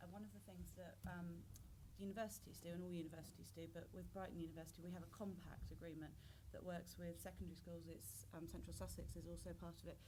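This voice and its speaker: A woman on a panel explains at length in fluent, earnest sentences. Her voice sits fairly low, with a little room noise behind her. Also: level tone, fast, few pauses. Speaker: university panelist. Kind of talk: panel discussion.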